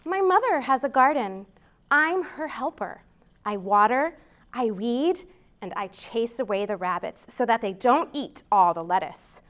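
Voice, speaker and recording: An American woman hosting a children's storytime, reading aloud in an expressive, deliberate way for young listeners. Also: fairly high pitch. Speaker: library storytime host.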